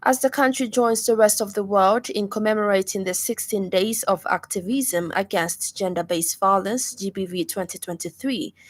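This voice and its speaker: A woman with a Zambian accent reads a scripted radio news bulletin in a formal, measured manner. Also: mid-range voice.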